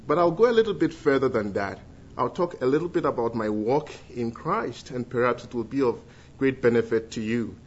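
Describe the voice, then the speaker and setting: A man's voice: medium pitch, expressive, slightly rough. Ghanaian man, middle-aged, earnest church testimony, muffled recording. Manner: earnest, with filled pauses and restarts. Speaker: guest speaker giving testimony.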